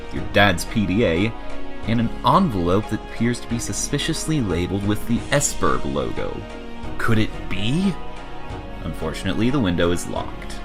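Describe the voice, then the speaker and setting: A man giving a dramatic, mock-serious scripted narration as the narrator of a comic adventure. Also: low-pitched. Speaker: narrator of a fan comic dub.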